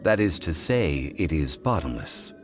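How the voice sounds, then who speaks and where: Very low, unhurried scholar-consultant, game dialogue, lively contour, light score behind. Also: male voice, faint music behind, full character voice. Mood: steady, measured. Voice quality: measured, formal and deliberate. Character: calm ancient male consultant, scholarly, composed. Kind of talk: video game voice acting. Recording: game score playing faintly.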